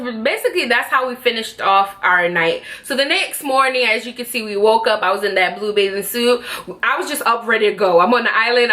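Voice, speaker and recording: A woman's voice: mid-range voice, pushed and bright. A young Jamaican woman chatting casually to her vlog audience about a trip, rambling and correcting herself as she goes. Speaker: vlogger. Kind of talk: casual travel vlog chat.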